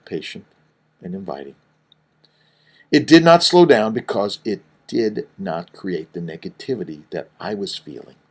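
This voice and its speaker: Low, husky-voiced man reading aloud. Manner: earnest, emphatic reading with wide swings in loudness. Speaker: fertility doctor and author. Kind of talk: reading his book aloud.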